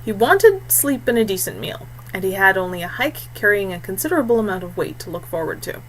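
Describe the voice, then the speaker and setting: A woman's voice: mid-range voice, big pitch swings, brisk, slight background noise, low-quality recording. A woman reading scripted fiction as an audiobook narrator.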